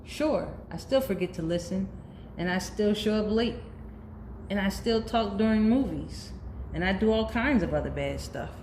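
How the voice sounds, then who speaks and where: A woman's voice: mid-range voice, expressive, normal speed, a little mellow, natural voice. Lady reading as a bad seed from a kids book, moody but earnest, dramatic confession, roomy sound w faint noise. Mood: earnest, hopeful.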